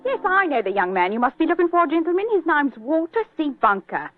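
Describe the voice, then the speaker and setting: An actress playing a chatty, know-all English village postmistress, gossipy and eager, in a 1940s radio drama. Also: female voice, high voice, very animated, brisk. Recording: tinny, dry old radio recording.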